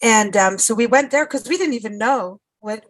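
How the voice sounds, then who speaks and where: A woman's voice: on the high side, normal speed, no echo. Chatty American-sounding woman radio host. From South Africa. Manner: chatty, rambling, frequent restarts and laughs. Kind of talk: casual conversational interview.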